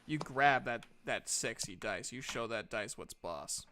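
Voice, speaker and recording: A man's voice: medium pitch, animated, unhurried, sharp tone, slight background noise. A man running a tabletop game as game master, narrating casually with an informal, playful, reassuring manner.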